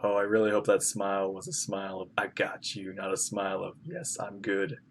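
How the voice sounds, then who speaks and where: A man giving casual live reaction commentary as a game streamer, with drawn-out interjections. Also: deep voice, fairly even intonation, slight background noise.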